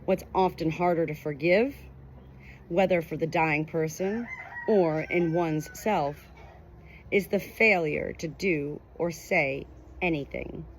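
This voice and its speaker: A woman's voice: deep voice, takes it slowly, slight background noise. A woman reading a nonfiction book aloud as scripted audiobook narration. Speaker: audiobook reader.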